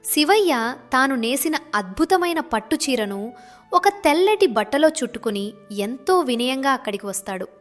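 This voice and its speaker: A woman narrates a scripted moral tale in a dramatic storytelling style. Her voice sits fairly high, and light music plays behind it. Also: big pitch swings, moderate pace.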